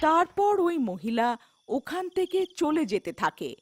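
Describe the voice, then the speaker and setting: A woman narrates a scripted horror folktale for children. Her voice is high and very animated in pitch, and she takes her time. Faint music runs behind her. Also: unhurried. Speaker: story narrator.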